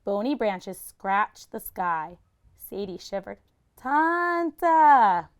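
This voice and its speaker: A woman's voice: medium pitch, lively intonation, unhurried. A woman reading a children's picture book aloud like a teacher, expressively and with dramatic emphasis.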